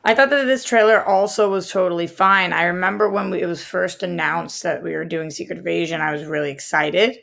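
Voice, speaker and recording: A young woman co-hosting a casual pop-culture podcast, speaking in a measured, thoughtful way, full of "like" and "um". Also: fairly low pitch, vocal fry, a bit bright. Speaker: podcast co-host.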